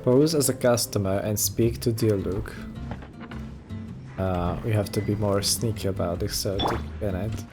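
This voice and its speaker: A man's voice: on the low side, a little slow. A man giving casual let's play commentary in short, murmured reactions to a game. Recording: game music behind the voice.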